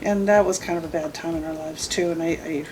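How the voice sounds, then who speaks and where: A middle-aged woman casually telling a personal story, animated and emphatic, often repeating her phrases. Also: low-pitched, fairly quick, a little hiss or hum, low-quality recording. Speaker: storytelling guest, mother of the host.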